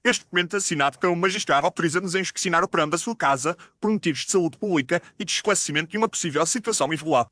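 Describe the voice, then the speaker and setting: A man's voice: on the high side, very fast, few pauses, sharp tone, dry recording, clean studio sound. A man playing a formal, firm Victorian police inspector with an official, declamatory manner in an audio story. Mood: firm, polite.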